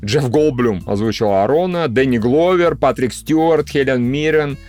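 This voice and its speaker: A man's voice: medium pitch, measured pace, a little mellow, soft background music. A man co-hosting a podcast, chatting informally in crude, sweary language. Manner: crude, profanity-laced informal speech. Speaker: podcast co-host. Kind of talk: casual podcast chat.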